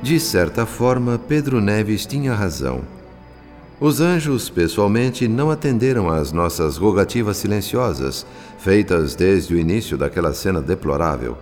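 An actor playing a solemn, devout narrator, telling the story theatrically in a radio drama. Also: male voice, on the low side, expressive, normal speed, darkish tone, faint music behind, close to an everyday voice. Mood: solemn, storytelling. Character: solemn, devout male spirit narrator, measured and moralizing. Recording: long cavernous reverb.

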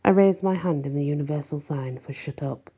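Very husky woman narrating an audiobook. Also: low voice, brisk, dark tone. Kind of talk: expressive first-person fiction narration.

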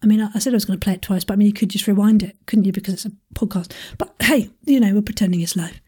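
A woman hosting a podcast quiz in a playful, chatty way, with self-deprecating asides and a husky voice. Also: mid-range voice, rapid-fire, warm tone.

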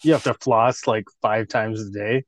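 A man's voice: on the low side, steady tone, normal speed, dry recording. A man in his thirties co-hosting a casual podcast, joking crudely and laughing often. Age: 30s. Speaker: podcast co-host.

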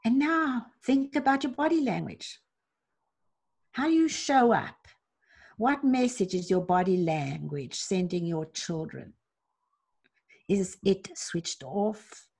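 A woman leading parents through a slow, gentle guided meditation, asking soft reflective questions with long pauses. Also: mid-range voice, creaky, slightly rough. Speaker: parenting coach guiding a reflection exercise.

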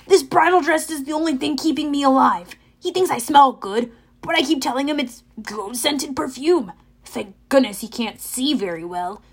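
A woman voicing a nervous, frightened young male satyr in an audiobook, pleading and anxious. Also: high voice. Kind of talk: audiobook character voice.

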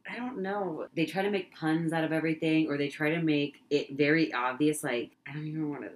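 A woman's voice: low-pitched, fairly quick. A young woman from California co-hosting a casual chat podcast, blunt and swearing as she rants. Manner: blunt, swears when ranting. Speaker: podcast co-host.

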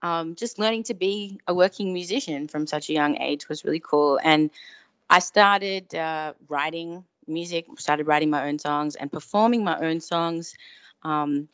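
A woman's voice: low-pitched, unhurried, a bit bright, clean tone, no echo, muffled recording. An Australian woman chatting casually and effusively as a guest singer-songwriter in an interview. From Melbourne, Australia.

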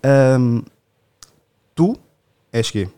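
A man hosts a relaxed podcast chat. He rambles and jokes, restarts his sentences, and has an ordinary mid-range voice. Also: medium pitch, extremely slow, clear, resonant tone. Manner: rambling, joking, with many restarts. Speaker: podcast host. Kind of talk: casual podcast interview.

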